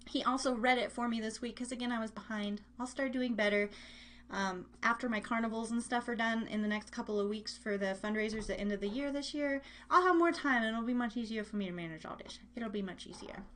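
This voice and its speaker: A woman's voice: fairly high pitch, fast talker, fairly bright tone, a little hiss or hum. A woman hosting a live online radio show in casual, rambling, chatty talk, often poking fun at herself.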